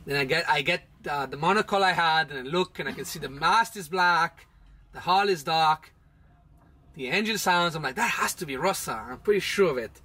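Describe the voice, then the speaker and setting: A man's voice: a little high, normal speed, sharp tone. A man in his thirties telling a sailing trip story in a chatty, casual way from a small boat cabin. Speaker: vlog host, sailor. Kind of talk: casual travel narration.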